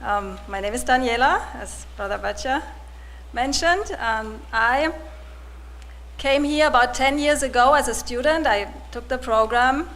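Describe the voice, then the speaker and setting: A woman in her forties, from Germany, giving a personal testimony to a congregation in a soft-spoken, hesitant way with many restarts. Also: high voice, unhurried. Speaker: church volunteer giving testimony.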